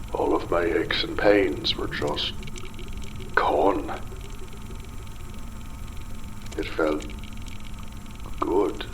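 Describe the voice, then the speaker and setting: An actor playing a desperate, unraveling father in a ruined underwater city, muttering a halting, bitter monologue in a gravelly, breathy voice for an audio drama. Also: extremely low pitch, a bit bright, slight background noise, heavily acted. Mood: uneasy exhilaration. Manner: halting, muttering, broken pauses, bitter swearing.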